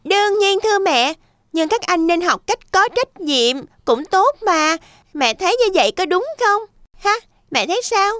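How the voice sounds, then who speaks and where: A woman's voice: extremely high pitch, very animated, normal speed, a bit bright, dry recording, clean studio sound, full character voice. A woman voicing an earnest, responsible little pig boy in a children's cartoon. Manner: earnest, admonishing.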